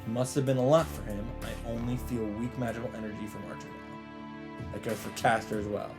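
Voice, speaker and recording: A man's voice: lowish voice, steady tone, brisk. A man reading a visual novel aloud as a let's play host, in dramatic scripted narration. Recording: loud game music behind voice. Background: visual novel soundtrack.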